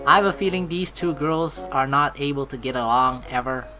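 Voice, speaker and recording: A woman's voice: rumbling low, normal speed. A woman doing let's play commentary, expressively reading the narration aloud and reacting to it, with game music in the background. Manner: expressive, exclaiming, reacts out loud. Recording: faint game music behind the voice.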